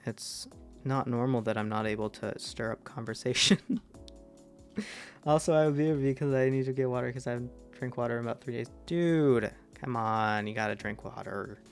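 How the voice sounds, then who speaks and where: A young man with a deep voice chatting casually with his stream chat while he plays, rambling and self-deprecating, trailing off with fillers. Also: normal speed, soft background music. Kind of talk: casual gameplay commentary to chat.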